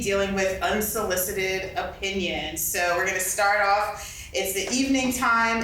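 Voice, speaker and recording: A woman's voice: mid-range voice, fairly bright tone. A woman moderating a live panel and puppet show at a convention. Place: convention meeting room. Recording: very echoey room, distant mic, faint noise.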